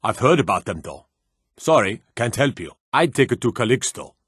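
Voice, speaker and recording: An actor playing a weary, gruff fantasy city guardsman in a video game, speaking in a serious, measured way. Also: mid-range voice, very animated, a bit bright, no echo, clean studio sound. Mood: matter-of-fact. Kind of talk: video game character dialogue.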